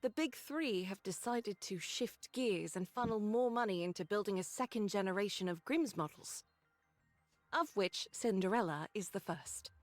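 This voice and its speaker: An actress playing a composed squad leader in a game cutscene, giving briefings in a measured, formal, authoritative way. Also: female voice, mid-range voice, lively intonation, no echo, soft background music, natural voice. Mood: composed, explanatory.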